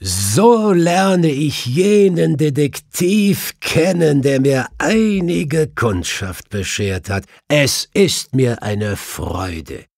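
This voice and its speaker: Man playing a courteous hangman, raised pitch. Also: lively intonation, drawn-out words, gravelly, few pauses, a little mellow, dry recording, clean studio sound, full character voice. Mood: courteous, impressed. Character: strong, clear-headed male chief hangman, courteous.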